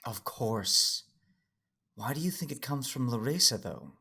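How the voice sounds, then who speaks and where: A man playing a calm, knowing alien Jedi in an audio drama, with a husky voice and an understated, gently challenging manner. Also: mid-range voice, a little slow, exaggerated voice. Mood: guarded, wry.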